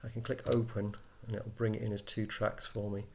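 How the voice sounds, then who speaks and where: A man giving an informal software walkthrough, explaining step by step in a conversational way as an instructor. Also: lowish voice, level tone, brisk, vocal fry, slight background noise.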